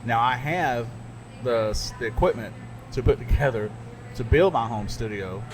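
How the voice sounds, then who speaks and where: A man's voice: medium pitch, moderate pace, background noise. Candid talkative radio man host. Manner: candid, emphatic, storytelling with restarts. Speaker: podcast host and radio broadcaster. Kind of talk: casual chat. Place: radio studio.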